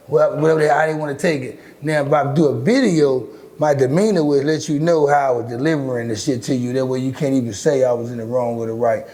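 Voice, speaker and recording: A middle-aged man with a deep, raspy voice, talking bluntly and with lots of swearing as an interview guest. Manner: blunt, profane, animated storytelling. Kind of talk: casual interview talk.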